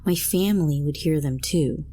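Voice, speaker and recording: A woman's voice: deep voice, normal speed, mellow, a little hiss or hum. A woman reading a scripted first-person horror story as a narrator.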